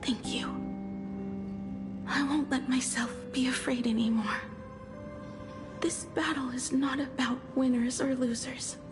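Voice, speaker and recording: A woman playing a frail, earnest young heroine in a video game, speaking haltingly with breathy emotion. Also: sits high, fairly even intonation, music in the background. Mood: quietly resolute. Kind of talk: dramatic video game voice acting.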